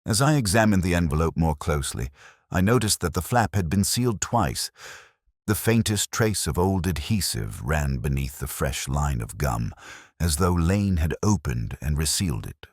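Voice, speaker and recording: A man playing a brooding, introspective older police detective, narrating a mystery in a measured, solemn, literary way. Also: a bass voice, breathy edge, no echo, studio quality, exaggerated voice. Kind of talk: scripted first-person mystery narration.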